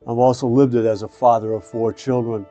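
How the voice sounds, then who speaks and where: A man's voice: mid-range voice, little pitch movement, brisk, dark tone, faint music behind. Older male host, dull lo-fi sound. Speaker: show host and mindset coach. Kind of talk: scripted promo and introduction, then casual interviewing.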